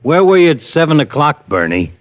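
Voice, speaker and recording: Brusque police lieutenant, muffled recording. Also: male voice, on the high side, very animated, fairly quick, no room sound. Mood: businesslike. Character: brusque male police lieutenant, matter-of-fact and skeptical. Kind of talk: 1950s radio detective drama acting. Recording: noisy, muffled old radio recording.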